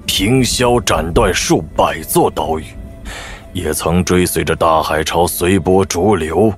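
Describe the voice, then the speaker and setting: A man's voice: sits low, slow, faint music behind. An actor playing a laconic middle-aged master swordsman in an audio drama, musing and wry. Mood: solemn reflection.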